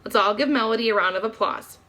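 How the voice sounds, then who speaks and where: A woman voicing a stern male schoolteacher in a storybook, formal and deliberate. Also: fairly high pitch, pushed and bright.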